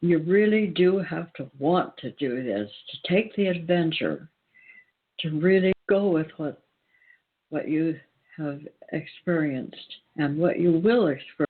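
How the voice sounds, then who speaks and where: A man giving an earnest spiritual talk in a deliberate way, pausing often and repeating phrases for emphasis. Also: on the high side, expressive, husky. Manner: deliberate, emphatic repetition with pauses. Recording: tinny, phone-like band-limited sound.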